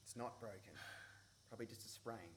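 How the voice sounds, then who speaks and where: A man acting an awkward, caring young Australian friend in a radio play, exasperated but loyal, speaking in a halting way. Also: fairly low pitch, close to an everyday voice. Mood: concerned, practical. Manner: halting, self-correcting. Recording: noisy live radio play recording.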